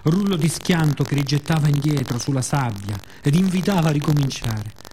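A man delivers a scripted radio theatre monologue as a storyteller, in a measured, reflective, dramatic way with pauses. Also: fairly high pitch, expressive, warm tone, a little hiss or hum.

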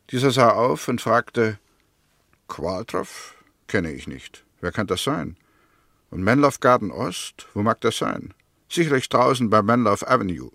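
Methodical prosecutor, lowish gravelly voice, formal, precise, firm, 1960s German radio drama. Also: male voice, fairly bright tone, dry recording, clean studio sound, heavily acted. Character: male prosecutor, methodical, firm, convinced of guilt. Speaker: actor in a radio play. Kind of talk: 1960s German radio drama acting, courtroom-style argument.

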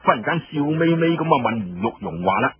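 Very husky Cantonese male storyteller. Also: mid-range voice, big pitch swings, brisk, highs cut off, soft background music. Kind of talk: colloquial dramatic storytelling narration.

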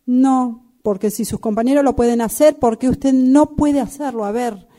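A woman acting as a bossy, scornful workshop boss in an improvised radio drama, curt and quick to interrupt. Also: fairly high pitch, warm tone, lightly acted. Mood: scornful, demanding.

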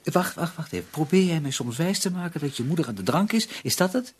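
An actor playing a defensive, irritable middle-aged father with a raspy voice in a radio play. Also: fairly high pitch, animated, quick pace. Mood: angry, indignant. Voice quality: raspy, husky. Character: defensive, irritable father protecting his wife.

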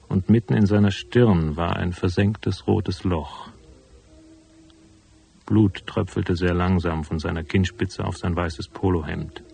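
An actor playing a tough, cynical private detective in a noir radio drama, speaking in a laconic, unsentimental manner. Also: male voice, deep voice, creaky, darkish tone, slightly rough, exaggerated voice. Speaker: narrator and lead character.

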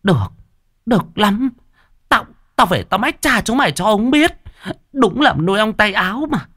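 Male storyteller as a screeching stepmother, very high, dry close sound. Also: expressive, moderate pace, sharp tone, dry recording, heavily acted. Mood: scolding. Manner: screeching, wailing, scolding. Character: shrill scheming stepmother, middle-aged woman.

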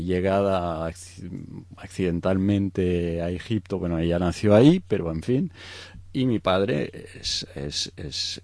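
A man's voice: deep voice, dark tone, no echo. A middle-aged man from Egypt explaining things in an unscripted interview, hesitant, searching for words and often adding tag questions. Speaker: interview guest.

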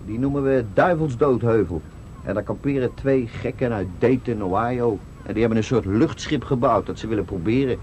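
An actor playing a gruff coast rescue worker who explains things plainly in a 1970s radio play. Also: male voice, mid-range voice, moderate pace, a little mellow, a little hiss or hum, lightly acted. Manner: plain, matter-of-fact explaining.

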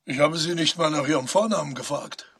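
An actor playing an introspective, haunted man's inner voice in a measured, confiding audio drama monologue. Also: on the high side, creaky, fairly bright tone, breathy edge, exaggerated voice.

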